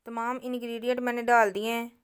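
Lady doing a cooking tutorial, smooth clear voice, kinda flat and even, calm how-to talk. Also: fairly high pitch, fairly quick. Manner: calm, instructive, addresses viewers directly. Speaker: cooking channel host. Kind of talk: step-by-step recipe narration.

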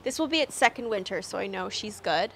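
A woman's voice: mid-range voice, expressive, a little fast, creaky, slight background noise. A young woman from Canada giving a chatty, upbeat walking tour of her snowy backyard garden, talking into a lapel mic outdoors and laughing often. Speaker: garden vlogger.

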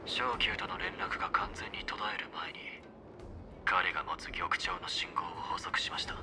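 Game role: stealthy male agent, low gravelly murmur, terse reports. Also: wildly swooping pitch, moderate pace, full character voice. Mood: hushed, terse. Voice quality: breathy, gravelly near-whisper. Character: hushed, terse male covert scout reporting to superior. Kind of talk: Japanese video game voice acting.

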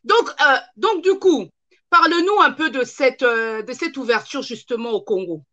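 A woman's voice: high voice, expressive, normal speed, bright, forceful tone, muffled recording. A woman in her thirties hosting a live online interview from home, chatty and encouraging, stringing questions together with fillers. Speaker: host. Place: room at home, indoors.

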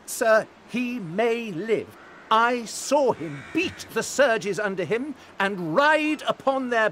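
A man's voice: very high voice, a bit bright, slight background noise, full character voice. A man playing an earnest, hopeful courtier in a Shakespearean audio play, with a declamatory delivery.

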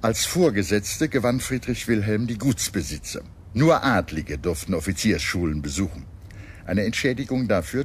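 A man reading a scripted history documentary in a measured, expressive storytelling manner. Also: lowish voice, a little hiss or hum. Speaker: documentary narrator. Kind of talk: scripted documentary narration with dramatized quotes. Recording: music under the voice. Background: background music.

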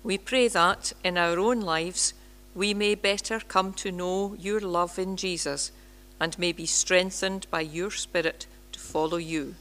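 A woman in her sixties reading a prayer in a measured, solemn way at a church lectern microphone. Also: lowish voice, fairly even intonation, a bit bright, slight background noise. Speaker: prayer leader.

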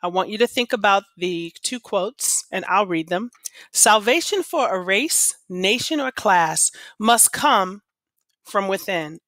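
A woman teacher reading aloud to students with an expressive, deliberate storytelling delivery. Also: medium pitch, no room sound. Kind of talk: expressive read-aloud of children's poems and class instructions.

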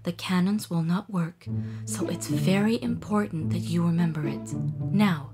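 A woman voicing a gentle, motherly alien leader who speaks in a soft, solemn and careful manner in a cartoon. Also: fairly low pitch, a little slow, over loud music, natural voice. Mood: soft, earnest.

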